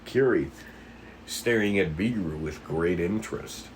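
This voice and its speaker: A man's voice: low voice, measured pace, a little hiss or hum, rough recording. A man reading stage directions aloud as narrator at an amateur table read.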